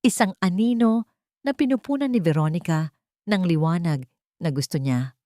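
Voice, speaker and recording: A woman narrates a scripted first-person dramatic story in a solemn, measured, emotive way. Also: on the low side, warm tone, dry recording, clean studio sound.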